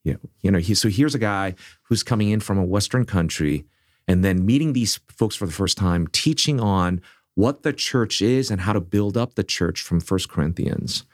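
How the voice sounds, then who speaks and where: A middle-aged man born in South Korea, leading a seminary, speaking in an earnest, measured way with frequent restarts. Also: on the low side, lively intonation, no echo, clean studio sound. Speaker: seminary leader. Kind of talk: conversational interview.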